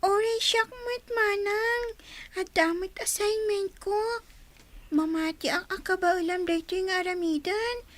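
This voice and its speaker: A woman's voice: very high voice, steady tone, unhurried, fairly bright tone. A woman acting as an eager little girl in a radio drama. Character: bright, eager little schoolgirl, youngest sister.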